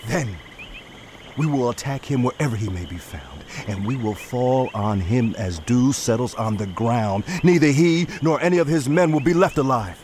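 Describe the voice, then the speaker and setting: An actor playing a shrewd, persuasive male royal adviser in a dramatized audio Bible, speaking with deliberate rhetoric. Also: medium pitch, darkish tone, a little hiss or hum, close to an everyday voice.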